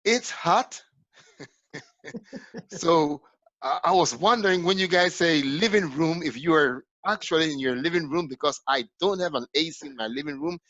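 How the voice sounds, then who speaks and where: A man's voice: a little high, moderate pace, sharp tone, no room sound. Guest, male, 50s, plain-spoken, dull lo-fi call audio. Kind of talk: casual video chat. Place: daughter's room at home. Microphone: video call.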